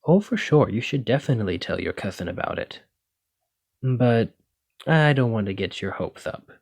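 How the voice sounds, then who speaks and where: A gentle dragon-blooded librarian played by a man talks to his partner in an ASMR roleplay. His voice sits fairly low. He explains things earnestly and reassuringly, like the bookish, devoted boyfriend he is. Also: animated, moderate pace, vocal fry, breathy edge, full character voice. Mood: careful, reassuring.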